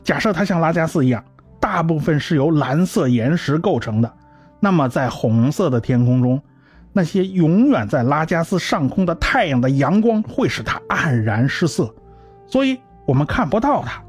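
A man's voice: fairly high pitch, big pitch swings, normal speed, slightly rough. A man voicing an earnest, learned male scientist in an amateur radio drama, explaining at length in a lecturing way. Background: faint music.

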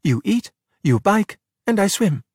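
A man's voice: on the high side, moderate pace, no room sound, studio quality, pitched above the performer's own voice, close to an everyday voice. A man voicing a bossy, exasperated, spirited young Italian girl who drops Italian words into emphatic talk in a children's audiobook. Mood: upbeat. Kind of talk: audiobook character voice in a children's story.

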